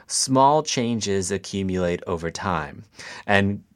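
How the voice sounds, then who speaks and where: Deep voiced science guy, patient and calm, explaining stuff to kids. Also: takes it slowly. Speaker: scientist guest. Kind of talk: explaining to children.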